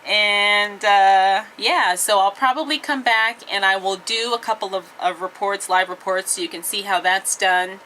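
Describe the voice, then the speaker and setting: A young woman who works as a radio traffic reporter, chatting casually in an easygoing, halting way with lots of ums and uhs inside a radio station. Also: medium pitch, sharp tone, rough recording. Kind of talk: casual vlog chat with an on-air traffic read. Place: radio station office and studios, indoors.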